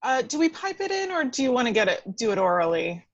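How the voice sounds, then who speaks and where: A woman playing a brisk, clinical lab technician with a deadpan edge in a film script. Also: on the high side, highs cut off. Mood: businesslike.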